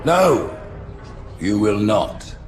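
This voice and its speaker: An actor voicing a stately, calculating alien religious ruler in a video game, speaking like a cold orator. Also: male voice, lowish voice, big pitch swings, very slow, very noisy, heavily acted. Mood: stern, grave. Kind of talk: cinematic video game acting.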